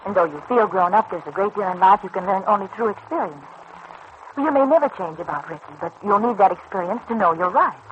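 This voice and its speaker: An actress playing a kindly, sensible woman confidante in a vintage radio serial, giving measured, reassuring advice. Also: medium pitch, expressive, natural voice. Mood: gently cautioning. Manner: measured, reassuring, gently advising. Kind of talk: 1940s-50s radio serial acting. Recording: dull, noisy old radio recording.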